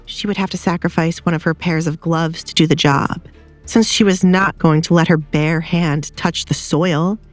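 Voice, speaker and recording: Low-voiced woman narrating an audio drama. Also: fairly even intonation, normal speed, faint music behind.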